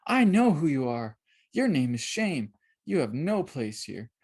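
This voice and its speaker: Storybook heroine read by a narrator: brave girl, firm, earnest, slightly raised, animated pitch. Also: measured pace, slightly rough, exaggerated voice. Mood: defiant. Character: brave, faithful girl leading young pilgrims.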